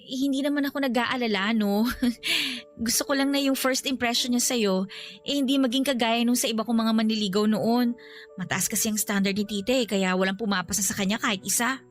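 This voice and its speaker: A woman plays a young Filipino niece in a radio drama. Her voice is highish, sweet and conciliatory, over a soft music bed. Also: a little fast, close to an everyday voice. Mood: reassuring, playful. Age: young adult. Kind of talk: Tagalog radio drama acting.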